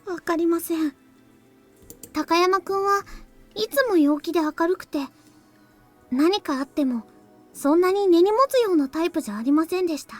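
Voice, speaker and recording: A voice actress playing a frightened, remorseful high school girl in a Japanese visual novel. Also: fast, long pauses, faint music behind. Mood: anguished.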